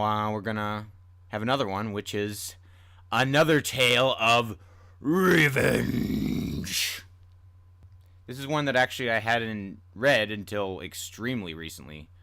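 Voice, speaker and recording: A man hosting and narrating old tales in a dramatic storybook style, with emphatic, deliberate phrasing. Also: on the low side, pushed and bright. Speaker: podcast host and story reader. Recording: music under the voice. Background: music.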